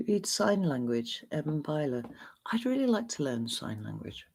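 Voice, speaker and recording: Gravelly-voiced English man, candid ramble. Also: on the high side, very animated, normal speed, a little mellow. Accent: neutral English. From England. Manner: rambling, candid, casual swearing. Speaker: tarot reader answering viewer questions. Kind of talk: livestream Q&A chat.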